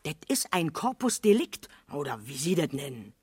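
An actress playing an excitable, talkative neighbourhood witness with a Berlin accent in a 1970s German radio crime drama. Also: female voice, low voice, moderate pace, slightly rough, no room sound, studio quality, heavily acted. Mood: eager, insistent. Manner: colloquial, garrulous, emphatic. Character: chatty, excitable female neighbourhood witness, eager amateur sleuth.

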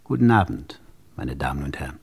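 Wry, husky old-radio police commissioner. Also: male voice, very deep voice, sing-song, faint music behind, full character voice. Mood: wry, self-satisfied. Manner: theatrical, rhetorical, addressing listeners in measured storytelling. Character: wry, self-satisfied middle-aged French police commissioner recounting his case. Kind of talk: 1950s German radio crime drama acting. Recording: 1950s radio recording.

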